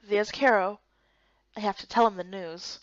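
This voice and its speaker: A woman reading a video game story as narrator and lead, in expressive scripted voice-over. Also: medium pitch, moderate pace, no echo, dull audio.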